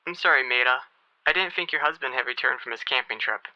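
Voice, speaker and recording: A man's voice: mid-range voice, fast talker, no room sound, natural voice. A man playing a courteous, businesslike doctor in an old-time radio soap, heard as if over a phone call. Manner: polite, businesslike. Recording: dull, band-limited, like a phone call.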